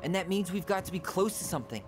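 A man's voice: high-pitched, expressive, fast. An actor playing an earnest, driven young American man in a video game, insistent but hesitant under pressure. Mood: urgent. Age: young adult. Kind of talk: video game cutscene acting. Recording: game dialogue under loud music.

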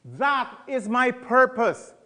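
A man's voice: very high voice, sing-song, slow pace, bright, forceful tone. A middle-aged man teaching a Bible study in a conversational, emphatic way, asking the audience questions. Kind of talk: sermon.

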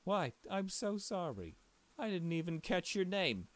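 A man's voice: high-pitched, big pitch swings, measured pace, pitched above the performer's own voice. A man voicing a grieving, polite mother in a visual novel, speaking in a formal, mournful manner. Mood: apologetic.

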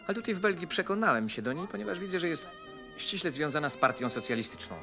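An actor playing a reserved male fiancé in a period television drama, speaking in a measured, somewhat cool way. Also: fairly high pitch, expressive, over music. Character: reserved male fiancé, opinionated about politics.

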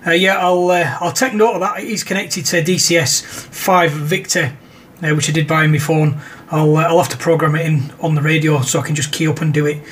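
A man's voice: on the high side, fairly even intonation, brisk, a bit bright, breathy edge. A man with a British accent chatting casually as an amateur radio operator. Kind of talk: casual ham radio conversation.

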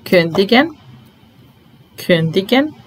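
A young woman teaching German vocabulary in a slow, deliberate drill, saying each word and repeating it calmly. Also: mid-range voice, faint music behind. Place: small indoor room at a desk.

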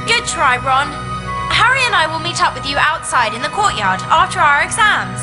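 Studious British schoolgirl, high, lively pitch, earnest, loud game music behind. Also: normal speed. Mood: teasing. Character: eager, studious young schoolgirl witch.

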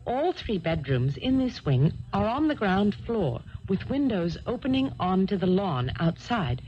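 An actress playing an uneasy young heiress recounting a dreadful night in a 1970s radio drama. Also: female voice, medium pitch, sing-song, a little slow. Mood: grave, uneasy. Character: uneasy young English heiress recounting her sister's death. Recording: dull, noisy archived radio broadcast.

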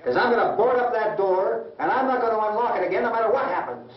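A man's voice: sits high, fast, lightly acted. An actor playing a stubborn, combative, frightened middle-aged American family man in an old horror film, arguing insistently in a tense voice. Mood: stubborn, defiant. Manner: argumentative, insistent, repeats himself. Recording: dull, echoey old film soundtrack, distant.

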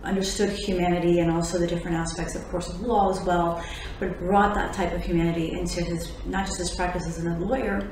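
A woman in her forties from Miami, a lawyer and podcast host, answering interview questions in a relaxed way with easy laughs. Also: on the low side, fairly quick, a little hiss or hum. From Miami, Florida. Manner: laughs easily, fillers between thoughts. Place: office lounge with large windows. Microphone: tabletop condenser mic. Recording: very echoey room, faint ambience.

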